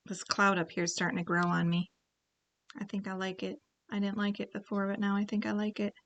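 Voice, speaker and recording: A woman leading a relaxed paint-along tutorial, chatting and thinking aloud as she paints, and now and then clearing her throat. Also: mid-range voice, fairly quick, dull audio. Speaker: painting instructor.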